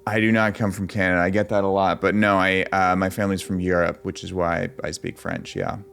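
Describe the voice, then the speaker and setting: Low-voiced man chatting on a call. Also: little pitch movement, gravelly, fairly bright tone. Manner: friendly, with quick restarts and fillers. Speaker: interviewer posing as an employer. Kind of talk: casual video call conversation.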